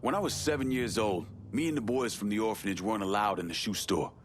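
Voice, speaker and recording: An actor as a deep-voiced, blunt Southern war veteran. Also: male voice, quick pace, a little hiss or hum, natural voice. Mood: firm, indignant. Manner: blunt, guarded, profane. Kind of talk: naturalistic video game cutscene acting.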